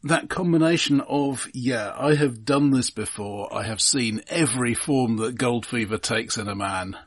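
A man co-hosting a film podcast, discussing films casually in measured, deliberate phrases. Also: mid-range voice, slightly rough, no room sound, clean studio sound.

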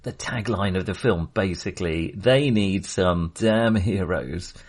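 A man with a fairly low voice talks through a film as a podcast co-host. His delivery is casual and halting, feeling its way through each thought with a wry edge. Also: breathy edge.

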